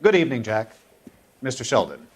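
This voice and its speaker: Office superior male actor, 1940s crime game: courteous, formal, animated delivery. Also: medium pitch, a little fast, a little hiss or hum, lightly acted. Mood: genial. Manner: polite, formal.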